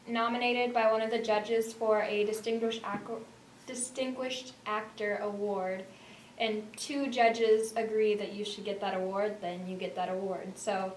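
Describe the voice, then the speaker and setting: A teenage girl, a student actor, answering interview questions in a casual way. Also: medium pitch. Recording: roomy, distant mic, faint noise.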